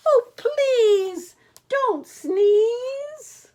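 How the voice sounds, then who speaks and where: A woman's voice: animated, drawn-out words, darkish tone, full character voice. A woman voicing a troop of frantic monkeys in a storybook, squealing and close to tears as they plead. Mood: pleading, tearful. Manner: high, squealing and tearful.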